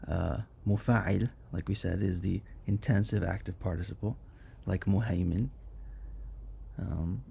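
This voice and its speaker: Deep gravelly man explaining casually. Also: warm tone. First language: Arabic. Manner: conversational, many fillers and self-corrections. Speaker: Arabic language teacher. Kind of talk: informal online lesson on Arabic morphology.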